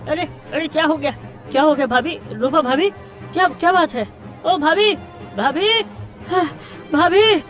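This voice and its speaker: An actor plays a caring young village man in a cartoon drama. His voice is high and pressing. Music is clearly heard behind him. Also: measured pace, long pauses, fairly bright tone. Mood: urgent, worried. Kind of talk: Hindi cartoon story dialogue.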